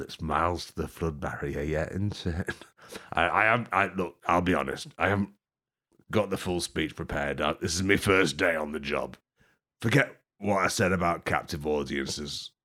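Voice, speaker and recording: A man rambling through an improvised mock tour-guide bit, deadpan and absurd, swearing and laughing at his own jokes. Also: a bass voice, unhurried. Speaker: podcast host.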